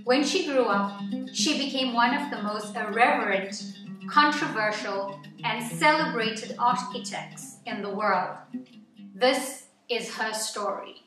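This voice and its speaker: A woman reading a nonfiction picture book aloud to children in an expressive, deliberate storytelling way, phrase by phrase. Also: fairly high pitch. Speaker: children's story-time reader. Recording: echoey room, distant mic, music underneath.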